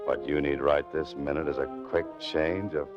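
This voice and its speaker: An actor playing a world-weary writer turned amateur detective in a 1940s radio drama, wry and clipped in a hardboiled noir style. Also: male voice, a bass voice, steady tone, moderate pace. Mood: brooding, wry. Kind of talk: 1940s radio acting, first-person noir narration. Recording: dull 1940s radio recording with music.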